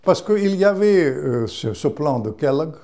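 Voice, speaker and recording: A man's voice: fairly high pitch, sing-song, a little slow, dark tone. An older man with Russian-Ukrainian roots, a guest author speaking in an interview, rambling with many restarts and fillers.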